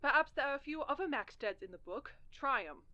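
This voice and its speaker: Radio play bit part actress: bad-tempered person on the phone, tense high voice, muffled sound, dismissive. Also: female voice, lively intonation, a little fast, bright, forceful tone. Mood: irritated. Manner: curt, dismissive. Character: curt, irritable stranger answering the telephone. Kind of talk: radio drama acting. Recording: dull distant telephone-line sound.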